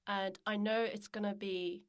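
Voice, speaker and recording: Young woman host from South Korea, native Korean speaker, medium pitch, self-correcting casual talk, close studio mic. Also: little pitch movement, a little slow, clean tone. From Jeju, South Korea. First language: Korean, Jeju dialect. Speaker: podcast host. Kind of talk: casual podcast chat among friends. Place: professional podcast studio. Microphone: close studio microphone.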